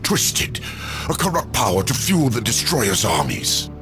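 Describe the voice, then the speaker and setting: Grave ancient angel, gravelly, rises and falls a lot, solemn hushed game cutscene. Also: male voice, mid-range voice, huge pitch swings, over music. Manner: solemn, measured, urgent hushed pleading. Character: ancient weary male Angel of Death, grave. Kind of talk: video game cutscene acting. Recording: game score under the voice.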